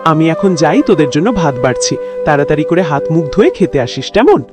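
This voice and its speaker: A woman's voice: low voice, very animated, brisk. A woman voicing a caring but nagging, exasperated village mother in a cartoon. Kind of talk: cartoon voice acting. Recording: music behind the voice.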